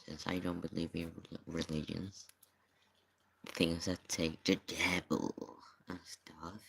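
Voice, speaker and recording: Low, gravelly, slow-spoken streamer. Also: a bit bright, low-quality recording. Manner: halting, drawn-out phrasing. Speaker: streamer reacting to videos. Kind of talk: casual reaction commentary.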